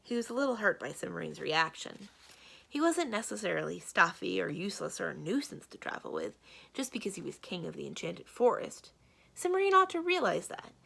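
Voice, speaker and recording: A woman reads aloud for children in an expressive narrating style. Her pitch moves in a lively way, and her voice has a husky, slightly creaky grain. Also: brisk. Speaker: library storyteller reading aloud.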